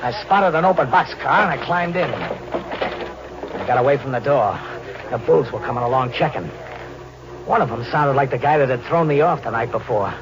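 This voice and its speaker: An actor playing a hunted, vengeful drifter in an old radio thriller, talking in tough slang with melodramatic, haunted delivery. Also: male voice, medium pitch, expressive, moderate pace, lightly acted. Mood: grim, wary. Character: hunted, desperate, vengeful male drifter on the run. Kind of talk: 1940s radio thriller acting with first-person narration. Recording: noisy, muffled old radio recording with hum.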